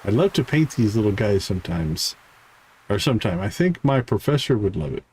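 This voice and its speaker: A man's voice: on the low side, sing-song, brisk, darkish tone, slightly rough, low-quality recording. A man livestreaming a game, reading character dialogue aloud with stumbles and short asides. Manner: reads on-screen text aloud with stumbles and asides. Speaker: game streamer.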